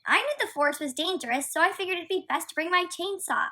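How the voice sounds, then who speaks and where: A woman's voice: extremely high pitch, fast talker, bright, forceful tone. A woman playing a sassy, defiant young kitten heroine in a radio play, with a sarcastic, irreverent manner. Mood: breezy sarcasm.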